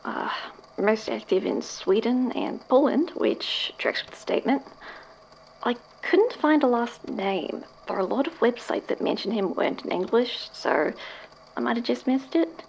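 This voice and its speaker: A woman's voice: fairly high pitch, animated, a little slow, creaky, darkish tone, a little hiss or hum, low-quality recording. A woman acting as a casual, slightly uneasy researcher in an audio drama, talking in an understated, tentative, conversational way. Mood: matter-of-fact.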